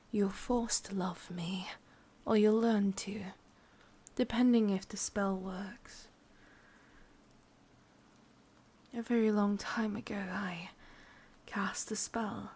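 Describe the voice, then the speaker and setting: A woman with an English accent playing a sadistic, possessive, vain witch in a breathy, whispered ASMR roleplay, intimate and menacing. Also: medium pitch, fairly even intonation, takes it slowly, darkish tone. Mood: possessive, threatening.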